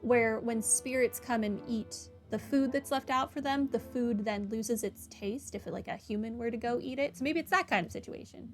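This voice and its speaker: A woman's voice: a little high, normal speed, few pauses. A woman hosting a playthrough, chatting casually and reacting aloud to the game. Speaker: gaming video host. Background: game music.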